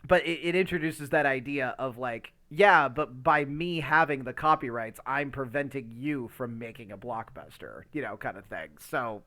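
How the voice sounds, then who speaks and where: A man's voice: medium pitch, moderate pace, hardly any pauses, bright, forceful tone. A man co-hosting a podcast in casual, rambling chat, emphatic and swearing freely as he gives his opinions. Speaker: podcast co-host.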